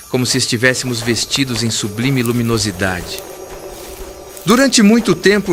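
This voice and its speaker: Male audiobook narrator, earnest and formal, mid-pitched, music clearly underneath. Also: sharp tone. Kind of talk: solemn literary audiobook narration. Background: clear background music.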